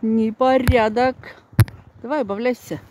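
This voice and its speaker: A woman's voice: fairly high pitch, steady tone, a little slow. An older woman with a Northern Russian accent, chatting and grumbling colloquially to viewers as she walks along with a phone. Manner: talking while walking. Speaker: vlogger. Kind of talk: casual spoken vlog, talking to viewers. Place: outdoors on snowy residential streets, briefly stairwell and bus. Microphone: handheld phone. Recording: noisy handheld phone recording, street ambience.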